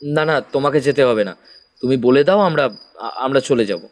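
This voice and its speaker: A man's voice: medium pitch, moderate pace, natural voice. A man playing a polite, practical young man who placates others in an audio horror story. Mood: placating.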